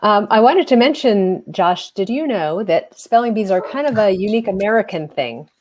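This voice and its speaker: A woman's voice: medium pitch, expressive, normal speed, darkish tone, slight background noise. A woman hosting an online spelling bee in a chatty, rambling way, laughing often, over a video call.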